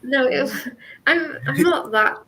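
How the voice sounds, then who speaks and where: A woman's voice: sits high, slow, noisy background. Young woman rambling in a group chat, unsure of herself, giggly. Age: 20s. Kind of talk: casual chat on a group call.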